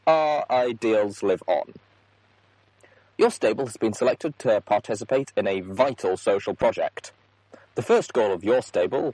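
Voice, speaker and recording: A man voicing an earnest, rattled female pony executive in an audiobook, reading an official message in a halting manner. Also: medium pitch, a little mellow, breathy edge, dry recording, rough recording. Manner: halting, official script slipping into frustrated asides. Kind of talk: audiobook character monologue.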